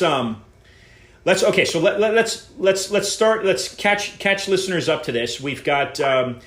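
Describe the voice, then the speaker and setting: A man hosting a live call-in show, introducing and questioning a guest in a halting way, with many restarts. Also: fairly high pitch, a bit bright. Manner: halting, full of restarts and fillers. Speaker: podcast host.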